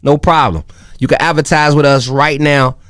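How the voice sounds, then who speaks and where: A man's voice: a little high, fairly even intonation, normal speed, a bit bright, no echo, clean studio sound. A man delivering a scripted promotional read that addresses the listener directly.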